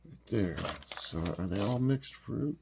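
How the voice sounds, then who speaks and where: Man, very low voice, stuffed-up nasal sound, rambling homey chat, lots of ums. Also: animated, measured pace, noisy background. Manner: rambling, homey, full of fillers and restarts. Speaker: vlog host. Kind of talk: casual morning chat and taste test.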